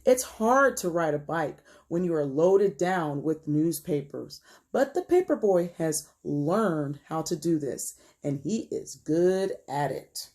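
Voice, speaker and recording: Lady teacher doing a kids read-aloud, slow n gentle, soft mellow voice, really expressive storytelling. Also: low-pitched. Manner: expressive, gentle storytelling for young children, often dropping to a hush. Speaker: elementary school teacher reading aloud. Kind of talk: picture book read-aloud with questions to pupils.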